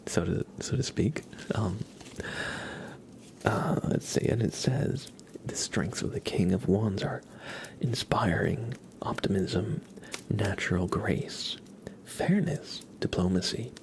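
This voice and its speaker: A young man hosting a relaxed ASMR livestream, mostly whispering and speaking softly in a reassuring, encouraging way. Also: lowish voice, gravelly. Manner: soft-spoken, mostly whispering. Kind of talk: relaxed ASMR, chatting with viewers and reading tarot cards.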